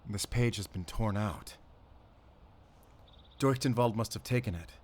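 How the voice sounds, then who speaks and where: A man's voice: lowish voice, a little slow, a little mellow, a little hiss or hum, close to an everyday voice. A man playing a determined, defiant investigator on the run in a cinematic audio drama, speaking with intensity. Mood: focused, deliberate. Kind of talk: cinematic audio roleplay acting.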